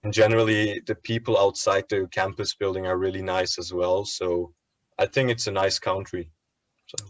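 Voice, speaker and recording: A man, answering interview questions in a relaxed way and pausing to search for words. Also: deep voice, no echo. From Turkey. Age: 20s. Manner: easygoing, searches for words with ums and likes. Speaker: student interviewee.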